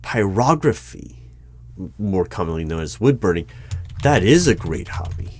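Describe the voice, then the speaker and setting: Deep-voiced, slow, unflappable secret-bureau interviewer played by a man, formal and deadpan, for an ASMR roleplay. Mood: formal, curious. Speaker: interviewer in a one-sided roleplay. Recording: clear noise with keyboard typing. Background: computer keyboard typing and clicks.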